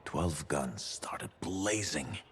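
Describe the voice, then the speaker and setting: Boastful old veteran, very low-voiced. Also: male voice, expressive, very slow, a little hiss or hum, heavily acted. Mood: proud, boastful. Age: older. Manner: boastful, storytelling with relish. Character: boastful gruff old veteran freelancer man. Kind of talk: video game cutscene acting.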